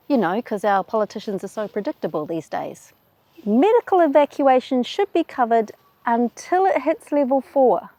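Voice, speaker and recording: A woman with a New Zealand accent hosting a travel explainer in a brisk, wry, plainspoken way. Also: mid-range voice, warm tone, no room sound. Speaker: travel video host. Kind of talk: scripted explainer.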